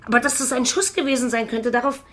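An actress playing an ordinary bakery worker in a radio crime drama, talking in a hesitant, chatty way. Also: female voice, a little high, steady tone, fast talker, lightly acted. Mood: hesitant, concerned. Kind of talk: naturalistic German radio play dialogue.

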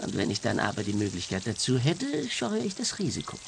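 A man's voice: medium pitch, huge pitch swings, brisk, gravelly, background noise. A man with a Swiss accent acting a resigned middle-aged husband in a radio crime comedy, matter-of-fact and world-weary.

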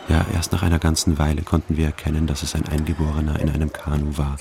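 An actor in a radio play playing a brooding soldier who narrates an ominous river journey in a measured way. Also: male voice, extremely low pitch, monotone, creaky. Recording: loud engine noise behind voice.

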